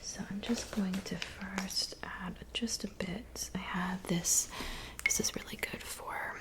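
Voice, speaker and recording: A woman speaking in a soft, breathy whisper, gently reassuring the listener in an ASMR roleplay. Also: medium pitch, fairly even intonation, slow pace. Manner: gentle, reassuring, attentive personal-attention talk. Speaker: ASMR performer. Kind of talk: whispered ASMR roleplay as a witch doing hair, makeup and potions.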